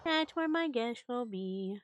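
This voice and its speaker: A woman's voice: on the high side, expressive, slow, muffled recording, noisy background. A woman streaming a game, giving casual commentary as she plays.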